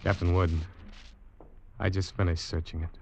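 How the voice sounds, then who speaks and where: A man's voice: low-pitched, little pitch movement, moderate pace, slight background noise. An actor playing an uneasy ship's officer who tells his own suspense tale in old-time radio style. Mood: tense, grave. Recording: muffled old radio recording, loud music.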